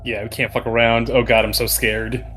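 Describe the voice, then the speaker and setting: A man's voice: mid-range voice, level tone, moderate pace, fairly bright tone, a little hiss or hum, rough recording. A man playing a tabletop game, chatting casually and swearing freely as he talks. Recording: music playing behind the voice. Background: background music.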